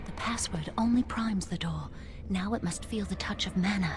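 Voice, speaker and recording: A woman playing an earnest, anxious young chantry woman in a fantasy video game, with a measured, solemn delivery. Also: mid-range voice, slight background noise, natural voice. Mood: solemn, secretive.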